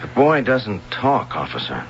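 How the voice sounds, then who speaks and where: An American man playing a gruff, impatient suburban husband in an old-time radio drama, brusque and exasperated. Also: lowish voice, huge pitch swings, a little slow, a little hiss or hum, heavily acted. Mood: stern. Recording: dull old radio broadcast, faint music.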